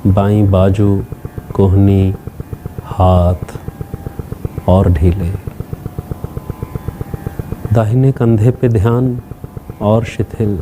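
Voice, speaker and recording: A man leading a guided meditation as a teacher, speaking calmly in short instructional phrases with long pauses. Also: fairly low pitch, a little hiss or hum, rough recording.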